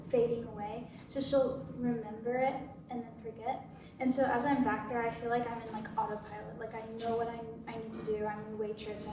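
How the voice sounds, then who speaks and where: A woman actor casually explaining her part at a post-show question session. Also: on the high side. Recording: very echoey room, distant, noisy.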